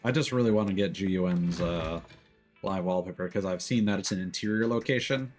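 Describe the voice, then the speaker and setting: A man's voice: sits low, fairly quick, a little mellow. A man giving casual live commentary while playing a video game, musing aloud in a conversational way. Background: music behind the voice.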